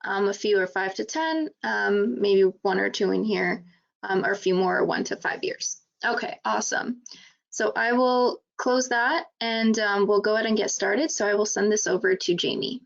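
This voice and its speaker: An upbeat woman hosts a live webinar in a casual way, with plenty of fillers. Her voice has a gravelly fry to it. It comes through dull, lo-fi call audio. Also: medium pitch, normal speed. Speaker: webinar host from marketing.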